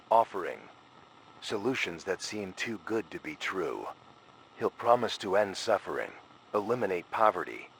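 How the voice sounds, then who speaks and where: A man performs a scholar recording a last warning for an analog horror piece. The voice is low and forceful, grave and ominous, with deliberate, urgent phrasing. Faint noise sits behind it. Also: poor audio. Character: frightened scholar leaving a grim final warning recording. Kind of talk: analog horror scripted monologue.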